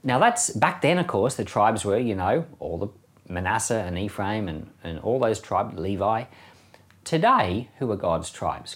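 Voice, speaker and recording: A man who is a pastor giving informal Bible commentary in a conversational, enthusiastic way, posing rhetorical questions. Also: on the low side. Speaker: pastor teaching a Bible podcast.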